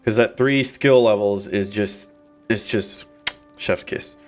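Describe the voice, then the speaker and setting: A man's voice: lowish voice, takes it slowly, soft background music. Nasal, congested man streaming a game. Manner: rambling, hesitant, many fillers. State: nasal, recovering from illness. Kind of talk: casual gameplay commentary. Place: home gaming setup. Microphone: desk mic.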